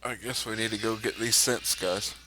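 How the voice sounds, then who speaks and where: A man's voice: fairly low pitch, steady tone, moderate pace, bright, forceful tone, poor audio. A man chatting casually as a player in a tabletop game. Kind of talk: casual group game chat.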